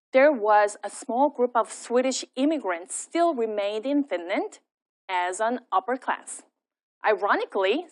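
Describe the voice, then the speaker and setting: Woman, Korean native speaker, lecturer, measured explanatory delivery, higher pitch. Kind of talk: academic lecture.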